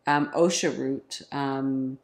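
A woman in her forties, a bit raspy from a cold, chatting casually with ums on a home vlog from her couch. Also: rumbling low, slow pace. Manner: relaxed, chatty storyteller with ums. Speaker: vlog co-host. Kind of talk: casual chat. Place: living room, on a couch. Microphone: camera in front.

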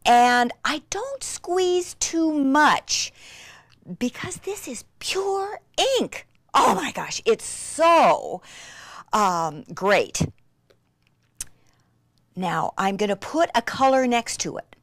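Excitable woman demonstrating crafts, swooping pitch. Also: drawn-out words, no echo, clean studio sound. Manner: gushing, easily excited, breathy exclamations. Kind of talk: hands-on craft tutorial with excited asides.

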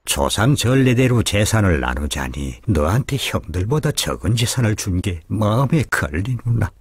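An actor voicing an elderly widowed scholar father in a cartoon, who talks slowly and sighs as he muses. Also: fairly low pitch, big pitch swings, slightly rough, dry recording. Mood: fatherly. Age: older. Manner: slow, musing, sighing. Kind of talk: cartoon voice acting.

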